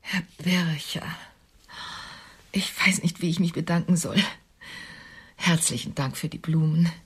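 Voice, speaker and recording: A woman's voice: low-pitched, a little slow, breathy edge, heavily acted. An actress playing an older, sober working woman in a radio drama, speaking in a dry, formal, reserved way with tenderness underneath. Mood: moved, formal.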